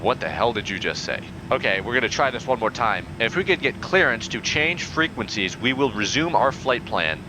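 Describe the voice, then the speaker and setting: A young man playing a pilot in a flight game, using formal radio phrasing in a deadpan, increasingly exasperated way over a headset. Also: medium pitch, moderate pace, few pauses, background noise. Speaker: pilot player in a flight sim. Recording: game audio in background.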